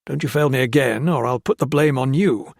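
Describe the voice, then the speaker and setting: A man's voice: mid-range voice, brisk, a little mellow, no room sound, clean studio sound, lightly acted. A man voicing a crooked army colonel who commands, blusters and threatens. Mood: scheming. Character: bullying male army colonel, menacing and crooked.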